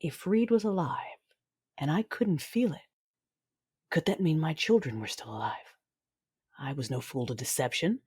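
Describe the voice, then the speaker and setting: A woman's voice: low voice, lively intonation, moderate pace, long pauses, a little mellow, husky, heavily acted. A woman playing a brooding, menacing Sith lord, narrating her own story in an audio drama. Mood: uneasy, searching. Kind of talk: first-person audio drama narration and dialogue. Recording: dramatic music underneath.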